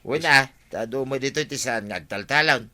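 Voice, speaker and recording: A man acts as an agreeable Ilocano villager from a Filipino comedy drama. His mid-pitched voice is very bright and sharp, and he talks plainly, like a neighbour to a pal. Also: moderate pace. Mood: matter-of-fact.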